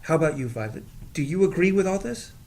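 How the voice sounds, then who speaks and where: A man's voice: fairly high pitch, lively intonation, fast talker, darkish tone, a little hiss or hum. A man playing a wary, curious newcomer in an audio drama read-through, casual and questioning.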